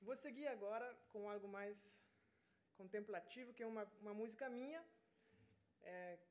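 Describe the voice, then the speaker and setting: Uma mulher com sotaque brasileiro, musicista, conversando de forma descontraída com o público entre as músicas de um concerto, hesitando e usando "né?" com frequência. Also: female voice, medium pitch, moderate pace, darkish tone. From Venezuela. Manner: chatty, with frequent hesitations and "né?" tags. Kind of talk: casual stage talk between songs. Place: concert venue, live audience.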